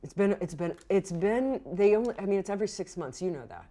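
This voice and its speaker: A woman's voice: mid-range voice, expressive, dark tone, close to an everyday voice. A woman playing a nervous, secretive beekeeper who stammers and halts as she answers in a tabletop game. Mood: evasive, flustered. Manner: flustered, halting, stammering. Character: nervous, secretive beekeeper dodging questions about magic bees.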